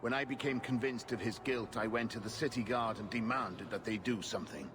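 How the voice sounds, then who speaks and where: A man's voice: mid-range voice, fairly quick, over music, lightly acted. A man playing a dogged investigator in a video game, serious and urgent in his delivery. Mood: urgent, frustrated. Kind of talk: video game cutscene acting. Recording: noisy street ambience.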